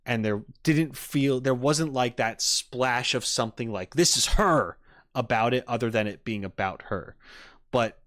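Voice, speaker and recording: A man giving casual livestream reaction commentary to game trailers, rambling and halting as he rephrases his thoughts. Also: mid-range voice, a bit bright, no echo, clean studio sound.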